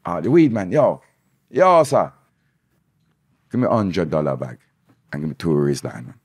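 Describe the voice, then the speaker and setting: A man's voice: low voice, husky, no echo. A man, a podcast guest talking animatedly in a casual interview, often restarting words, close to a desk mic in a small studio. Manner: animated, gestures a lot, quick restarts. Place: small studio room indoors.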